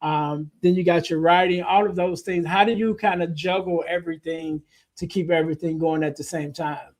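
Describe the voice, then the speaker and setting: High-pitched male host, laid-back and friendly, filler-heavy interviewing. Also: fairly even intonation, normal speed. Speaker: podcast host. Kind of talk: casual interview hosting.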